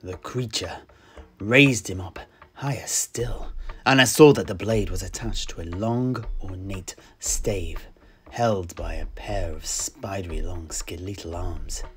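A man telling a fantasy tale in character, theatrical and measured, with dramatic pauses and stresses. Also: sits low, fairly bright tone. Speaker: storyteller, in-character tavern keeper. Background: plucked-string music.

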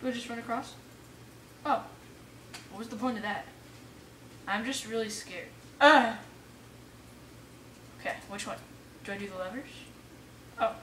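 A woman giving casual let's play commentary, excitable, exclaiming and muttering to herself as she plays. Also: fairly high pitch, unhurried, pauses often. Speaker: gamer playing an adventure map for viewers. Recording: roomy echo, distant mic, faint noise.

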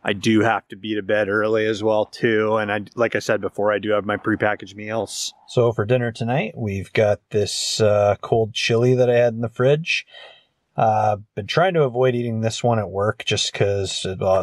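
A man's voice: fairly low pitch, fairly even intonation, moderate pace, no room sound, studio quality. A man in his thirties chatting casually and ramblingly to a handheld camera, as an outdoor vlogger. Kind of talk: casual outdoor vlog chat. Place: outdoors beside and inside a parked truck. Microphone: handheld camera at arm's length.

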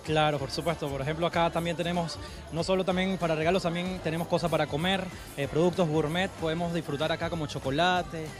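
Chilean man organizer, high-pitched, rapid and eager, music under. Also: fairly even intonation. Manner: eager, agreeable, repeats "claro". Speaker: event organizer. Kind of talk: on-camera promo interview. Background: background music.

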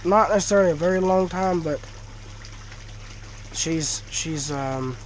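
Congested man, slow and folksy. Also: on the high side, slight background noise. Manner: folksy, chatty. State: congested, talking through a blocked nose. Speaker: fish-keeping vlogger. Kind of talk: casual vlog to camera. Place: dim cinder-block garage or shed, indoors. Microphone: action camera worn by the speaker.

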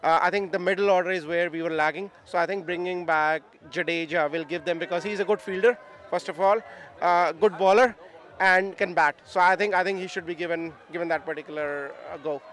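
A man's voice: sits high, steady tone, fairly quick, fairly bright tone. A middle-aged man giving his opinions as a sports fan, hesitating and repeating words, outdoors on a handheld microphone. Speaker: sports fan being interviewed. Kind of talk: casual post-match opinion. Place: outdoors outside a stadium. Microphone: interviewer's handheld microphone.

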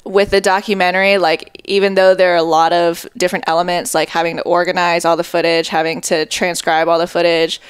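A woman's voice: fairly low pitch, level tone, brisk, hardly any pauses, smooth, no echo. A young woman in her twenties, a film editor, talking casually in a podcast interview on a headset mic, with plenty of "like" and "um" and a dry sense of humor. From born in Japan, raised in Connecticut, China, Hawaii. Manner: laid-back, many "like" and "um" fillers, dry jokes. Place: small dining room, indoors.

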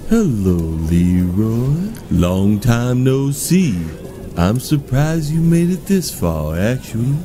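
A man's voice: mid-range voice, very animated, slow, slight background noise. A man in an audio drama playing a menacing, taunting cult villain with a theatrical, declaiming manner. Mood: smug, taunting. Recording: loud music behind the voice.

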